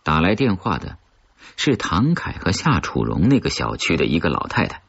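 A man narrating a crime thriller audiobook in a husky, measured, dramatic storytelling manner. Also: deep voice, wildly swooping pitch. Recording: slightly dull, lo-fi audio.

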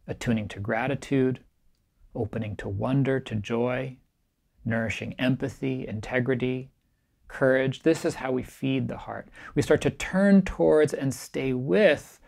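A middle-aged man with a pitch that sits in the middle of the male range and a heavily gravelly texture. He teaches meditation and gives a keynote in a calm, reflective way, gently pressing his points. He speaks to camera in a small, bright room. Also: a little slow. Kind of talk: recorded keynote talk. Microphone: camera framed at chest height, facing the speaker.

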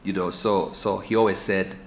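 A pastor in his forties with a Ugandan accent, giving a relaxed, talkative sermon to the camera from a sofa, gesturing as he repeats words while he thinks aloud. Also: low-pitched, normal speed, vocal fry. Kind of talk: conversational teaching sermon to camera. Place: small room, seated on a sofa indoors. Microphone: camera at a few feet, medium shot. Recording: echoey room with faint hiss.

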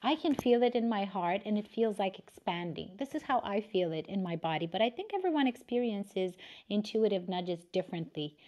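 A woman in her thirties from Romania talks as a podcast guest, her Romanian accent edged with American sounds. She is chatty and laughs easily, her voice sitting at a middle pitch. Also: expressive, a little fast, darkish tone, poor audio. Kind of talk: casual conversation. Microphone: remote audio room.

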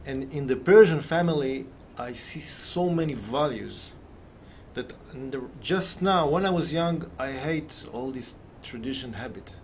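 A man's voice: medium pitch, lively intonation, measured pace, a little hiss or hum. A man in his thirties from Israel with an Israeli accent, answering in conversational, non-native English as an interview guest. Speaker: film director, interview guest. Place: TV studio.

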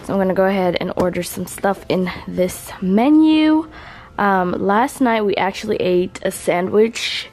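A woman's voice: medium pitch, lively intonation, unhurried, a little mellow. A young woman filming a casual vlog, talking in a chatty, slangy way to viewers and her partner while walking through a hotel room with a handheld camera. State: voice raspy from just waking up. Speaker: vlogger.

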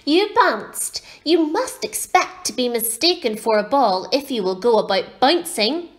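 A woman's voice: high-pitched, very animated, unhurried, voice pushed up. A woman voicing a bold, proud, know-it-all little schoolgirl in a storybook, pert and assertive. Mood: pert, scolding.

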